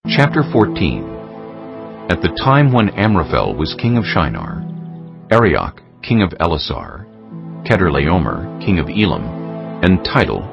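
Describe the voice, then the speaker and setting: A man narrating scripture dramatically from a script, in a solemn, deliberate storytelling manner. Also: low voice, huge pitch swings, vocal fry, highs cut off. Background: music.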